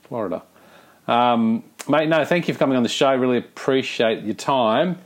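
A man hosting a conversational podcast interview in a halting, informal, matey way. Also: mid-range voice. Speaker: podcast host.

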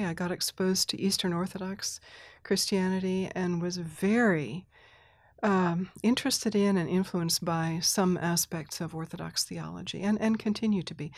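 A middle-aged woman, an interview guest who grew up in Thailand, speaking thoughtfully with restarts, soft laughs and throat clearing. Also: on the low side, no echo, clean studio sound. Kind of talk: reflective conversational interview.

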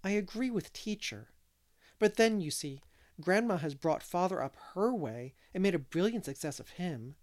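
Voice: mid-range voice, lively intonation, moderate pace, darkish tone, close to an everyday voice. A reader voicing a serious, precocious little boy in an audiobook, earnestly reasoning things out. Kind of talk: audiobook character reading.

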